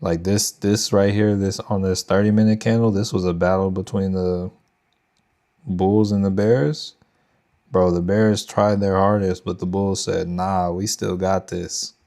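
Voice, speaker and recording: Young Texan man, trading stream host, loose slangy thinking-aloud delivery, mellow gravelly voice. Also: low-pitched, flat delivery, a little slow. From Texas. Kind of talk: casual live market commentary.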